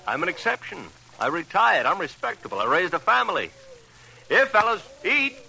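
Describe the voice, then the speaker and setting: A man's voice: sits high, wildly swooping pitch, moderate pace, vocal fry, bright, forceful tone, husky, heavily acted. An actor playing an effusive, evasive retired crook who dotes on his pigeons and repeats his words, in an old radio crime drama. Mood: proud, doting. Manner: effusive, repeats words, coos over birds. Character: jovial, slippery ex-con pigeon fancier, doting and evasive. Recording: noisy old radio recording.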